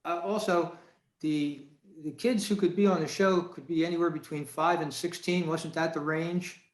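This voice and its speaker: Higher-pitched man in an echoey room. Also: steady tone, normal speed. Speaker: audience member asking questions. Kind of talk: casual question and answer. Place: remote video call. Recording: echoey room over video call.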